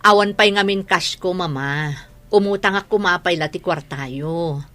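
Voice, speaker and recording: A woman's voice: on the low side, normal speed, fairly bright tone, faint music behind, close to an everyday voice. A woman acting in a radio drama as a defiant, wheedling wife and mother who gambles.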